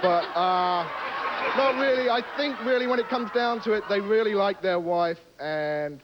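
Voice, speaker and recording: A man's voice: sits high, normal speed. A man from England, a game show contestant chatting hesitantly with the host. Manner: hesitant, chatty, with fillers and little laughs. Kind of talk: casual on-air chat. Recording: 1970s TV broadcast, dull sound, faint background noise.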